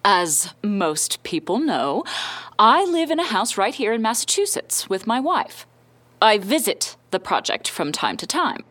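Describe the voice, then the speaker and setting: Guarded, powerful scientist, lively pitch. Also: female voice. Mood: guarded, curt. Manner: measured, firm, guarded. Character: powerful, guarded woman scientist and company founder being interviewed. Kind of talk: audio drama acting as a formal interview.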